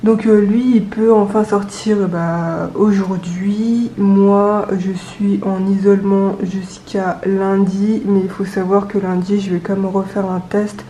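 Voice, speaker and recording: A young adult woman with a cold and a stuffy nose, chatting casually to camera, with many hesitant pauses. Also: mid-range voice, warm tone, roomy sound, slight background noise, poor audio. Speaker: budgeting vlogger.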